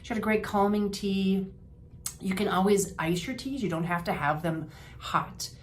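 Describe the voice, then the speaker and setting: A woman's voice: medium pitch, normal speed, a little hiss or hum. An older woman, a health practitioner giving casual wellness advice in a homey, chatty way with fillers and restarts. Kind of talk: informal health advice talk to camera.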